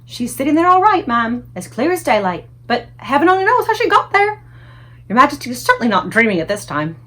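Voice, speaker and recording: A woman's voice: sits high, sing-song, a little fast, bright, forceful tone, exaggerated voice. A woman reading a storybook voices a middle-aged maid, an indignant, flustered servant who speaks emphatically and scoldingly. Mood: concerned, flustered.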